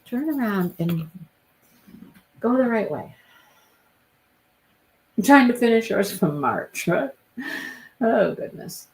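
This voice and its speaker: A congested, sniffly woman hosts a live stream in an unhurried, drawn-out way. She chats with viewers and repeats herself as she goes. Her voice sits in a middle register. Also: mid-range voice, very animated, vocal fry, darkish tone, poor audio. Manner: chatty, repeats her phrases, addresses viewers by name. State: stuffy, congested nose. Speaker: livestream host. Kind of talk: casual chat with live viewers.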